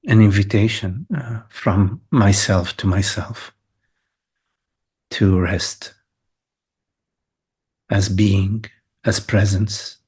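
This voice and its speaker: Male meditation teacher, lowish husky voice, very slow delivery, short phrases, long pauses. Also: darkish tone. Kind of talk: guided meditation.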